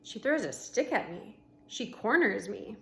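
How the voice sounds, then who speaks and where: A woman reading a children's book aloud like a teacher to pupils, expressively, with deliberate pauses between short phrases and casual explanations. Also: fairly high pitch, big pitch swings, sharp tone. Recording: noticeable background noise.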